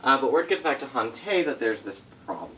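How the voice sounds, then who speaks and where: A man's voice: medium pitch, animated, a little fast, bright, forceful tone. A man informally telling tabletop game lore to players as game master, in a casual, rambling way with swearing and self-corrections. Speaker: game master recounting setting history to players. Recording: distant mic with faint background noise.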